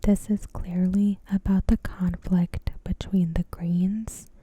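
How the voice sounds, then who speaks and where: A woman doing ASMR commentary in a breathy, hushed whisper, measured and deliberate, close to the microphone. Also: on the low side, little pitch movement, dry recording. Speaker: ASMR creator reviewing a TV episode. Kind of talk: ASMR, soft scripted commentary. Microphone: close microphone in a dry space.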